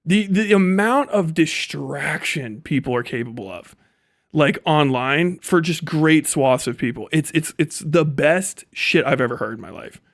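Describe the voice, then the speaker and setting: A man's voice: a little high, unhurried, gravelly, no echo, studio quality. A man doing casual livestream commentary, talking in an emphatic, profane, ranting way. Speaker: livestream host.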